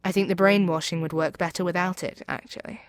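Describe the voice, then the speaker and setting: A woman's voice: lowish voice, steady tone, fast, dry recording, lightly acted. A woman playing a proud, seductive demon queen in an ASMR audio roleplay, speaking in an imperious, persuasive, theatrical way. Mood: commanding, taunting. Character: proud, seductive demon queen brainwashing her captive hero.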